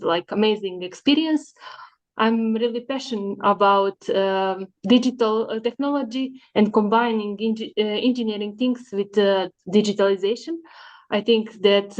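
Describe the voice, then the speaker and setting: A woman, answering interview questions in an unhurried way with many fillers. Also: medium pitch. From Serbia. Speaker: guest, data scientist. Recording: dull lo-fi sound.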